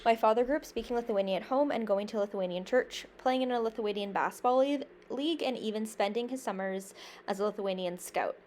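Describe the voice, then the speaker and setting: A woman's voice: fairly high pitch, a little fast, a little mellow, slight background noise. A young woman from Canada, a university student, reading a prepared class presentation in an earnest, careful way, with small slips and restarts. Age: young adult.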